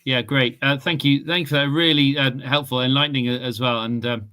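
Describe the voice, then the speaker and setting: A man with a bright, forward voice asks a speaker a question from the audience. He begins with thanks and hesitates often, restarting his phrases around "um". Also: mid-range voice, fairly even intonation, fairly quick, smooth. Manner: hesitant, appreciative, restarts and "um" fillers. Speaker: audience member asking a question. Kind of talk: casual Q&A question.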